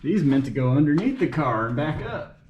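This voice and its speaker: A man's voice: a little high, sing-song, brisk, muffled recording. A man thinking aloud in short, unscripted fragments as he does hands-on mechanical work for a vlog. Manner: muttering to himself in short fragments while working. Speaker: amateur mechanic narrating his car repair. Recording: roomy, echoey, noisy workshop-like recording.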